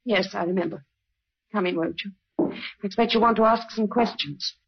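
Young English wife, sharp-tongued and dismissive, old radio drama, dull archival sound. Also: female voice, mid-range voice, normal speed, creaky, breathy edge. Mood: cool, scornful.

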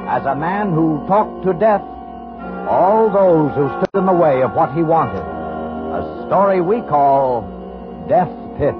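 Male American announcer, lively dramatic intonation, husky, dull old radio sound. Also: fairly high pitch, slow pace, music in the background. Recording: muffled vintage radio recording.

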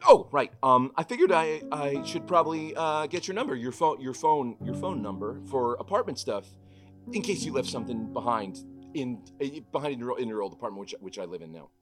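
A man's voice: mid-range voice, expressive, a little fast, over music, lightly acted. A man playing an awkward, eager young superhero at a table read, earnest and prone to stammering. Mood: nervous, flustered.

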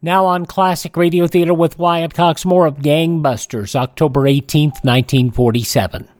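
Male radio host, scripted announcing, higher voice, dry studio sound. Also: steady tone, moderate pace, dry recording.

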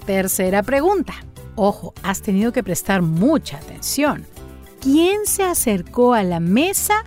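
A woman's voice: mid-range voice, sing-song, measured pace, darkish tone, faint music behind. A woman hosting a children's story channel, running a playful quiz and praising each answer in a coaxing way.

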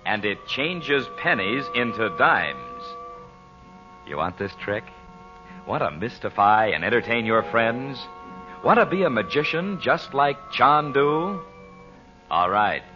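A man's voice: medium pitch, bright, forceful tone. An American man announcing and narrating in a theatrical, emphatic old-time radio style. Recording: dull old radio recording with music.

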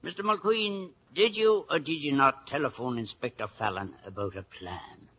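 An actor playing a gruff older Irish policeman father with wounded pride and a husky voice, in a radio drama. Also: medium pitch, moderate pace, bright, forceful tone. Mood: indignant. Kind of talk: 1950s radio drama acting. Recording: muffled vintage radio broadcast.

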